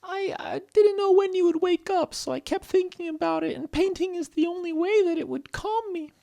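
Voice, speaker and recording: A man voicing a gentle young female storybook animal, anxious, caring and tender. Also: very high voice, normal speed, vocal fry, pitched above the performer's own voice.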